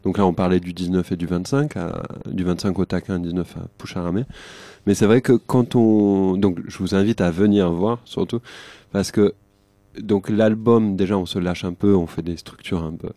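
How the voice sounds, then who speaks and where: A man's voice: sits low, fairly even intonation, moderate pace, dark tone, dry recording. A male band musician chatting informally in an interview, restarting and repeating phrases as he goes. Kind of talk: conversational radio interview.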